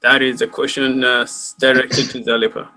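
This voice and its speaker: A man's voice: medium pitch, flat delivery, normal speed, sharp tone, rough recording. A man moderating a community talk panel, reading out audience questions with a hesitant manner. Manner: hesitant, many fillers.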